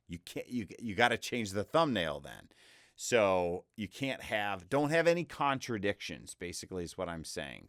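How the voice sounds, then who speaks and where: A young man with a deep voice giving a casual, rambling screen-share tutorial on freelance selling, often interrupting himself with upbeat advice. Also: a bit bright, smooth, no echo, clean studio sound. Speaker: voice-over freelancer teaching online selling.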